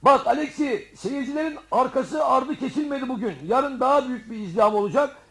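Shrill, pompous, self-important man. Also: brisk. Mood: self-important, bossy. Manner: pompous, calling out loudly. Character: vain, self-important man speaking from inside a crocodile. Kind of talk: radio theatre comedy acting.

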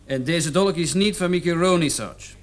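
A stern policeman voiced by a male actor in a radio drama, with a slightly high voice. He reports to his superior in clipped, pointed phrases. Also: normal speed, natural voice. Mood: stern, assured. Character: stern male police subordinate presenting found evidence. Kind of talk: radio play acting.

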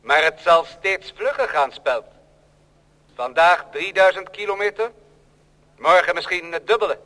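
A man's voice: sits high, a little slow, sharp tone. A man playing a coldly menacing machine in an old radio drama, declaiming ominously. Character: machine producing plates, coldly menacing, prophesying domination. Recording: long reverberant hall.

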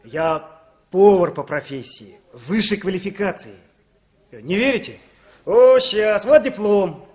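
High-voiced brash young man. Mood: breezy, boastful. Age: young adult. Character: talkative, brash young cook down on his luck. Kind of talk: 1960s Soviet radio drama acting.

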